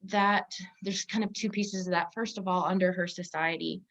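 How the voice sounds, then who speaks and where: A woman with a moderately low voice hosts a Bible discussion in a conversational teaching style. Her phrasing halts and corrects itself as she thinks aloud. The recording is a little muffled and lo-fi. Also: steady tone, moderate pace, a bit bright. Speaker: host of a Bible discussion podcast. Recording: muffled, dull lo-fi audio.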